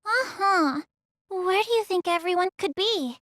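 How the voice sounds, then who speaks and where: A woman's voice: very high voice, expressive, measured pace, fairly bright tone, no room sound, clean studio sound, full character voice. A woman voicing a sweet, timid young nurse girl in an anime game, childlike and cutesy. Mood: uneasy. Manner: childlike, cutesy, stammering when flustered.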